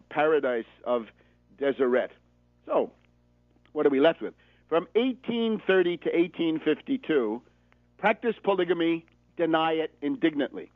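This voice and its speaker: A man's voice: on the high side, no echo. An American man giving political radio commentary like a lecture, didactic and emphatic, hammering his points with insistent repetition. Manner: didactic, often checks with "right?". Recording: narrow phone-like radio audio.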